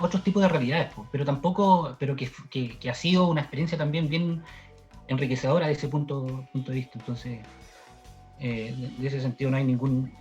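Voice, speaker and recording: A Chilean man from the south, a sociologist, answering interview questions in a halting way with many restarts. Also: a little high, highs cut off. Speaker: sociologist guest.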